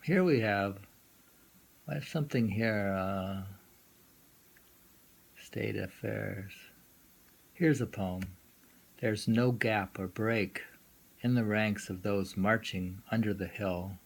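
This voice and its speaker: A man reading poems aloud informally and musing on them in a halting way, often repeating lines. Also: fairly low pitch, creaky. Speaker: poetry reader and commentator. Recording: noisy amateur recording.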